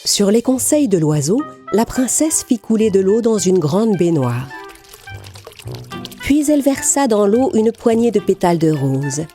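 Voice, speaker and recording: A woman narrating a scripted children's fairy tale in a clearly articulated storytelling manner. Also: medium pitch, sing-song, measured pace, warm tone, faint music behind.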